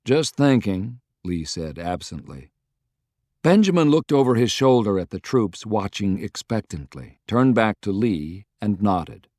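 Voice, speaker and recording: A man's voice: lowish voice, very animated, a little slow, darkish tone, no room sound, studio quality. A man reading a historical novel as scripted, dramatic audiobook narration.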